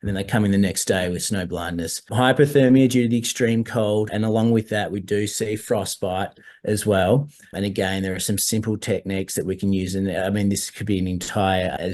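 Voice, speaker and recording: A hoarse man, a doctor explaining altitude medical issues in a measured way during a webinar over a video call. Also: sits low, fairly even intonation, darkish tone. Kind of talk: webinar presentation.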